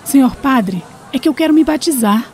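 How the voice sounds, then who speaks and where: A woman's voice: sits high, lively intonation, moderate pace, a little mellow, slight background noise. A woman playing an earnest, idealistic young revolutionary woman in a radio drama. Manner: earnest, reflective.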